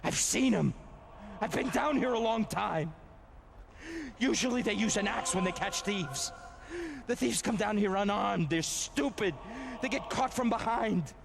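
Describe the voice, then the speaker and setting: An actor playing a shady, defiant immigrant thief in a video game, agitated and pleading with a strained, gravelly voice. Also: male voice, sits high, animated, a little slow, noisy background, full character voice. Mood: urgent, bitter. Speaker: game character. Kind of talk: dramatic video game voice acting.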